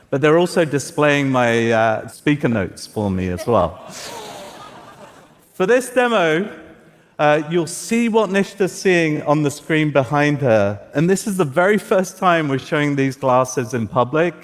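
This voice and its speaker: A man whose first language is Farsi speaks on an auditorium stage, presenting a live demo in a loose, joking way with a few "uh"s. His voice sits at an ordinary middle pitch. A long hall reverb trails each phrase. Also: a little slow. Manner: relaxed, joking, with filler "uh"s. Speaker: tech presenter. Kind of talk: live product demo talk.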